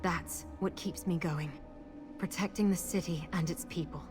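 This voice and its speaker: An actress playing an earnest, determined protector of a city in a fantasy game, plain-spoken and loyal. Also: female voice, lowish voice, fairly even intonation, normal speed, close to an everyday voice. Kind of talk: fantasy game character acting. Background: game music.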